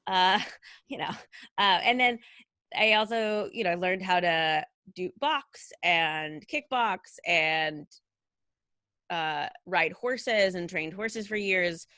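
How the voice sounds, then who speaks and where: Low bright-toned chatty woman. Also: slow pace, no echo, studio quality. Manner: chatty, many fillers like "like" and "you know". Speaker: guest, costume designer. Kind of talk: casual conversational interview.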